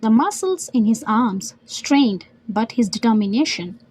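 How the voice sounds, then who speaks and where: A woman's voice: on the high side, animated, measured pace, a little mellow, slight background noise, rough recording. A woman reading a scripted educational story as narrator and vocabulary teacher.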